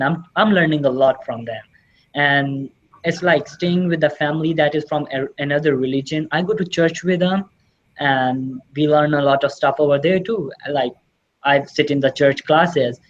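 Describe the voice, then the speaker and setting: A man's voice: fairly high pitch, steady tone, normal speed, a little hiss or hum. Teen male from Pakistan, native Urdu, eager interview guest, slightly dull audio. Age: teens. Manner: earnest, enthusiastic, repeats "really" often. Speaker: exchange student guest. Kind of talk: casual interview answers.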